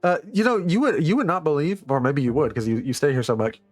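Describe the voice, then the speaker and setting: A man's voice: fairly high pitch, big pitch swings, fast, no echo. A cheerful middle-aged father who dotes and rambles on, put on by a man running a tabletop game. He gushes in a lifted, eager voice. The sound is close and dry, with faint music underneath. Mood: doting. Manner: gushing, rambling, fussy. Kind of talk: game master voicing a character.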